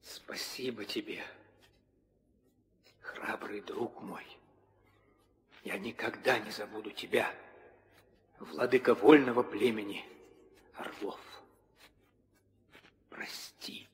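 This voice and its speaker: An actor playing a noble, anguished warrior hero in a 1960s audio drama. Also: male voice, fairly low pitch, steady tone, slow pace, pauses often. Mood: grieving. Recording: long reverb, old studio recording.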